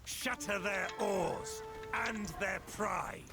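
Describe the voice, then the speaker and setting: An actor playing a ruthless, humourless Spartan warrior in a dramatic video game style, terse and menacing. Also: male voice, high voice, fairly even intonation, very slow, gravelly, exaggerated voice. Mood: cold, vengeful. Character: grim, ruthless, friendless Spartan warrior admiral. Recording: very loud music behind.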